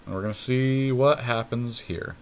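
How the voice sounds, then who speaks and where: A man's voice: medium pitch, normal speed, a little hiss or hum. A man co-hosting a card game podcast, thinking aloud in a casual, analytical way as he talks through his options step by step.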